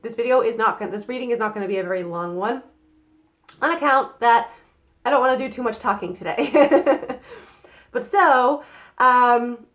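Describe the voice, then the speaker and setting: A young woman with a cold and a slightly nasal voice, giving a casual card reading to her viewers with lots of fillers and quick "okay?" checks. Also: fairly high pitch, a bit bright. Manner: chatty, many fillers and tag questions. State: has a cold. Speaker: psychic card reader. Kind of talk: casual tarot-style reading to camera.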